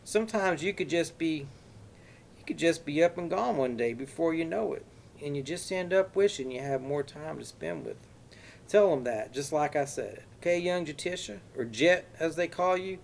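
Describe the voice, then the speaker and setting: A man voicing an older graying man with an exaggerated Texas accent, folksy and courteous but quietly threatening. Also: on the high side, animated, normal speed, creaky, slight background noise, pitched above the performer's own voice. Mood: polite menace. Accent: exaggerated Texas drawl.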